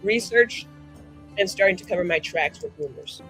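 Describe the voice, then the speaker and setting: A woman playing a tabletop roleplaying game, chatting casually in slangy, excitable, improvised speech with some swearing. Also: on the low side, moderate pace, soft background music, poor audio.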